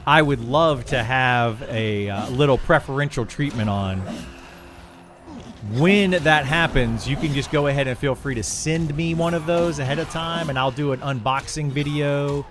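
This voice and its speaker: A middle-aged man, a video game streamer, talking casually and a bit ramblingly as he answers viewers on a livestream. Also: medium pitch. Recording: clear background noise and ambience.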